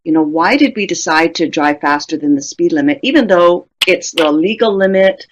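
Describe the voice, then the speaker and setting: A woman's voice: lowish voice, moderate pace, muffled recording. A middle-aged woman presenting a professional webinar in a conversational, reflective way, putting questions to her audience. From Canada.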